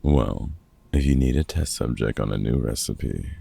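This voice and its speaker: A man playing a gruff, wry older vampire hunter in an ASMR roleplay, a fatherly mentor with a very gravelly, husky voice and dry, teasing delivery. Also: rumbling low, normal speed, warm tone, full character voice. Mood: amused, teasing. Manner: dry, teasing, hesitant with unfamiliar slang. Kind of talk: ASMR roleplay audio drama, one-sided conversation.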